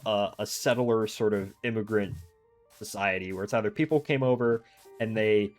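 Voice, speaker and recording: A man's voice: fairly low pitch, a little mellow. An American man streaming a game, chatting casually and thinking aloud in long digressions. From United States. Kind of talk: casual chat while gaming.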